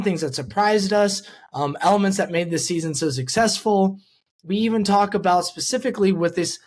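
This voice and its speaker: A man hosting a sports podcast, talking casually and informally with an upbeat fan's manner. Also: sits high. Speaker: podcast host. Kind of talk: casual podcast intro and ad reads.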